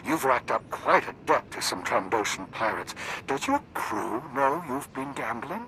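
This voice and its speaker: An actor playing a coolly threatening spy posing as a buyer in a video game, insinuating and unhurried. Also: male voice, medium pitch, very animated, bright, forceful tone, a little hiss or hum, low-quality recording. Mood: needling.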